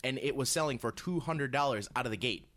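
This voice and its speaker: A man's voice: medium pitch, quick pace, a bit bright. A man chatting casually as a co-host, blunt, sweary and enthusiastic. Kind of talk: casual, joking chat.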